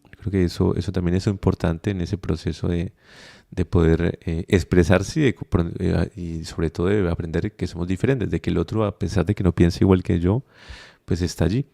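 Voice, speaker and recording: A young adult man with a Colombian accent, interviewed on a podcast. His low voice has a gravelly edge and a dark, mellow color. He talks in a reflective, unhurried way, thinking aloud with fillers and restarts. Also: steady tone. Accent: Colombian Spanish. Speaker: podcast guest, migrant. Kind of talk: conversational interview.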